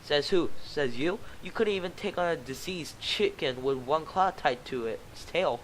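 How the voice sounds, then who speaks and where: A man's voice: a little high, animated, normal speed, slight background noise, natural voice, rough recording. A man voicing a cocky, rude male teenage delinquent student who mouths off at his teacher. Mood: insolent. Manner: mouthy, insulting.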